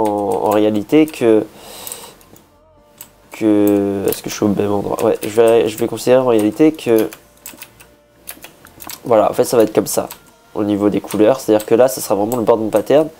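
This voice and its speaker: Hoarse man thinking aloud while building. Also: on the low side, steady tone, moderate pace, long pauses, mellow, faint music behind. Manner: thinking aloud, many hesitations and restarts. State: hoarse, a bit sick. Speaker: Minecraft builder. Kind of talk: casual building commentary.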